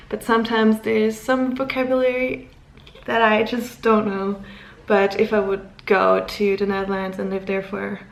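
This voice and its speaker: A woman's voice: medium pitch, gravelly, echoey room. A woman in her twenties from Luxembourg, German as her first language, chatting casually to camera as a vlogger, giggly and rambling.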